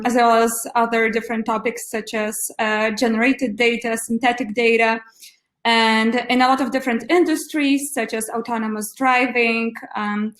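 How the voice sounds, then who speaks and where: Female panelist, fairly high voice, informal professional introduction, strong room echo. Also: fairly bright tone. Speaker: panelist, AI engineer.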